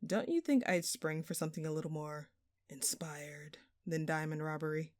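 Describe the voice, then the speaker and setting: A woman's voice: low-pitched, normal speed, a little mellow, no room sound, clean studio sound, lightly acted. A woman playing a smug, obnoxiously flirtatious thief in an audio roleplay, drawling and teasing in a sultry, mock-innocent way. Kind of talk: audio roleplay acting addressed to the listener.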